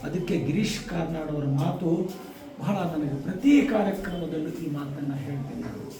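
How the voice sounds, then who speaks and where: A man giving a motivational speech to students, emphatic, with rhetorical repetition and deliberate pauses. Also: on the high side. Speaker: guest speaker addressing schoolchildren. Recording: echoey, distant microphone, faint noise.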